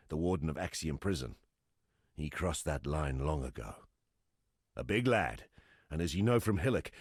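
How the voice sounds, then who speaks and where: Weary fantasy game survivor, very low gravelly voice, salty, wry, grimly practical, swinging intonation. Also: male voice, no echo, studio quality, exaggerated voice. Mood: grim advice. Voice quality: gravelly, husky. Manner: rough, plain-spoken, salty, wry. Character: gruff, weary male exile fighter, an ex-smuggler camp leader, grimly practical. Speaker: non-player quest character. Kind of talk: fantasy video game dialogue.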